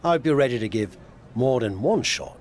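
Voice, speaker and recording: An actor playing a wry British veteran wrestler and mentor, coaxing and teasing with dry humour in a video game cutscene. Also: male voice, medium pitch, animated, moderate pace, darkish tone, a little hiss or hum, close to an everyday voice. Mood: teasing, brisk. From United Kingdom.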